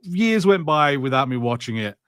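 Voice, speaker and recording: A man's voice: medium pitch, very animated, normal speed, fairly bright tone, smooth, no echo, clean studio sound. A man co-hosting a podcast in casual film chat, joking and swearing freely. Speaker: podcast co-host. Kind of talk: casual watch-party chat about films.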